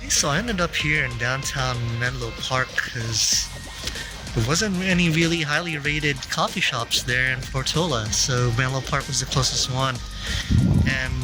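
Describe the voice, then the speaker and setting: A man in his thirties hosting a casual vlog, chatty and quick to laugh. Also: mid-range voice, low-quality recording. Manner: laughing, animated storyteller. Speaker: vlog host. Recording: music underneath.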